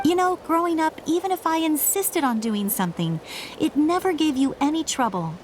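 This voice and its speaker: A woman's voice: high voice, lively intonation, normal speed, darkish tone, a little hiss or hum. A woman voicing a stubborn, spirited younger sister from a tribe in a video game, with an insistent, plucky manner. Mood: stubborn, worried. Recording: game music behind the voice.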